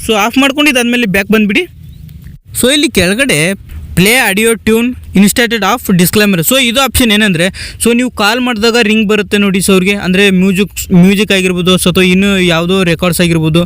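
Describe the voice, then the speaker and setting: A man's voice: high voice, fairly bright tone, no room sound. A man narrating a casual step-by-step phone tutorial as a host, in repetitive instructional phrasing. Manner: repetitive instructional phrasing, often starting sentences with "so".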